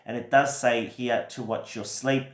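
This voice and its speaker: A man with a croaky voice from a recent cold, giving a gentle, reassuring weekly tarot reading with many fillers. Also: medium pitch, fairly even intonation, a little slow, reverberant. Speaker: tarot reader.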